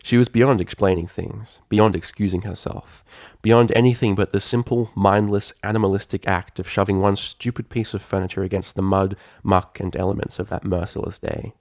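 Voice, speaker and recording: A man's voice: fairly low pitch, fairly even intonation, normal speed, a little mellow, no room sound, clean studio sound. A man reading fan fiction aloud as a scripted, dramatic story narrator.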